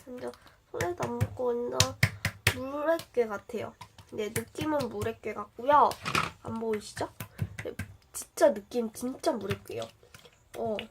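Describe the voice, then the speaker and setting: A young woman with a slight cold showing slime to viewers in a casual chatty vlog, full of fillers and questions to the audience. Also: on the high side. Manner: chatty, many fillers, addresses viewers directly. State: has a cold, voice a bit changed. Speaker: slime reviewer. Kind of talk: casual show-and-tell vlog. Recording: noisy home recording.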